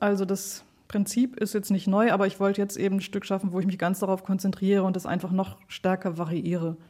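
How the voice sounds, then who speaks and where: A woman's voice: medium pitch, dark tone. A woman giving thoughtful, explanatory answers in a conversational interview, as an artist talking about her work. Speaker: interviewed sound artist and radio play maker.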